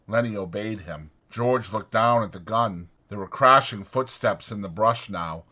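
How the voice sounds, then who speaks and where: Slow, bright-voiced male audiobook narrator. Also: lowish voice. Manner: measured, deliberate. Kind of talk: dramatic scripted narration.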